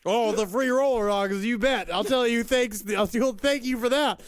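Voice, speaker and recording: Man doing a giggly high store clerk. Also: normal speed. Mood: goofy. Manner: giggly, rambling sales patter. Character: goofy middle-aged male convenience store clerk.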